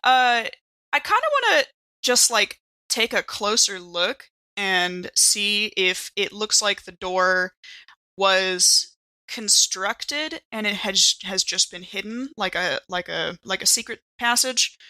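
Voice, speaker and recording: A woman's voice: mid-range voice, slow pace, pushed and bright, no room sound. A woman playing a tabletop game, chatting casually and playfully as she rambles through what her character does. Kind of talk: casual in-game role-play chat.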